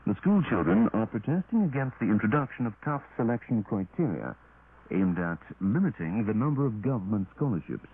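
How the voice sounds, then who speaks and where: A man's voice: lowish voice, very animated, a little fast. Tinny shortwave recording of a male newsreader. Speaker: radio newsreader. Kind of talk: formal scripted news bulletin reading. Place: radio broadcast studio. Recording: tinny, noisy 1980s shortwave radio recording.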